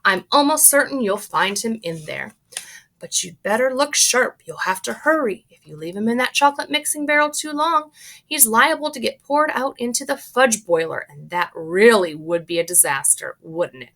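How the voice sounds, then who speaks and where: A woman's voice: on the high side, lively intonation, moderate pace, pushed and bright, voice pushed up, full character voice. A woman voicing a playful, giggling eccentric man who teases in an airy way. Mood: teasing.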